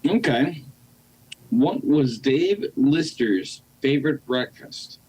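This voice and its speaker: A man performing a deadpan male character in an amateur audio play. He speaks slowly, spelling things out in an ordinary mid-pitched voice. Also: breathy edge, low-quality recording.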